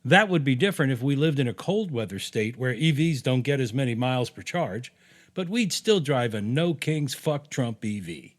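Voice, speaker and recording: A man's voice: mid-range voice, animated, moderate pace. An American man reading his own opinion piece aloud in a punchy, sarcastic, salty-tongued way, as a podcast host.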